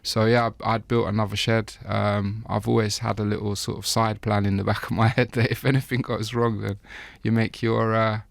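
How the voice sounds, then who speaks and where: A man giving an interview, talking in a relaxed, rambling way with frequent laughs. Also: fairly low pitch, moderate pace, gravelly, hardly any pauses, no echo. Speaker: interview guest, chef. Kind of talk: casual interview talk.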